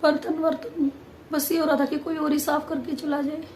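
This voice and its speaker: A woman vlogger chatting casually to viewers, her voice hoarse and nearly gone, pushing hard to get the words out. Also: high-pitched, flat delivery, normal speed, a little mellow, slight background noise. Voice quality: strained, forced voice.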